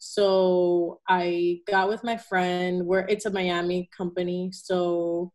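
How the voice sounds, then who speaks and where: A woman's voice: on the low side, level tone, slow pace, a little mellow. A young woman from Venezuela, a guest in a casual interview, talking earnestly with fillers and self-corrections.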